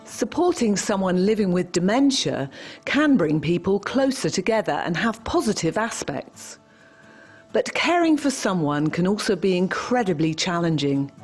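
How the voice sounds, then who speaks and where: A woman giving a measured scripted narration for an informational video. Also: medium pitch, big pitch swings. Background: soft music.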